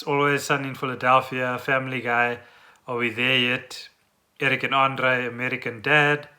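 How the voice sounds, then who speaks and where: A man with a South African accent and a very bright, forward voice. He talks casually and off the cuff, as a podcast co-host thinking aloud. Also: medium pitch. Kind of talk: casual unscripted podcast chat.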